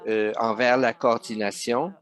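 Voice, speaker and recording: Male interpreter voicing a webinar live; slow, halting, mid-range voice. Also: mid-range voice, fairly even intonation, fairly bright tone. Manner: hesitant, many fillers and restarts.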